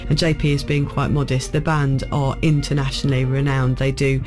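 A man from a military band, answering interview questions eagerly and quickly. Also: medium pitch, flat delivery, over music.

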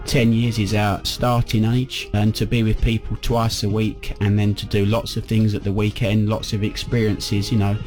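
A man's voice: lowish voice, flat delivery, moderate pace, hardly any pauses, a little mellow. A man giving conversational interview answers as the leader of a band. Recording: music playing under the voice.

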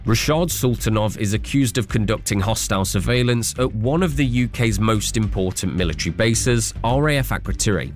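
A male reporter narrates a scripted news package. His voice is fairly low, with quiet music running behind it. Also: expressive, brisk, few pauses. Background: music bed.

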